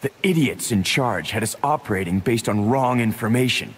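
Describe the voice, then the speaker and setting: A man's voice: mid-range voice, animated, normal speed, husky. A man with an American accent playing a brooding, bitter young ex-Marine convict, terse and guarded, in a video game. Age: young adult. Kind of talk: dramatic video game cutscene acting.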